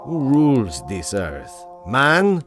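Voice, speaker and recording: A man's voice: medium pitch, huge pitch swings, takes it slowly, a bit bright, faint music behind, exaggerated voice. A man playing a pompous big-game hunter obsessed with trophies, making grandiose, theatrical declarations in a pulp radio adventure.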